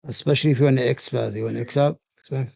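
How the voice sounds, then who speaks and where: Brief: blunt debating man on a call, gravelly, dry close sound. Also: mid-range voice, steady tone, fast, a little mellow, no echo. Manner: blunt, provocative, broken phrasing. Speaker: debate guest. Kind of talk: heated online debate.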